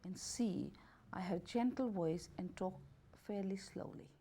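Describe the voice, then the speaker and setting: A woman with a gravelly, mellow voice reads a questionnaire aloud. She takes each lettered option in turn at an unhurried pace. Her grammar is slightly off now and then, like a second-language speaker. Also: medium pitch. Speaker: holistic health and beauty therapist. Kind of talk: reading out a questionnaire.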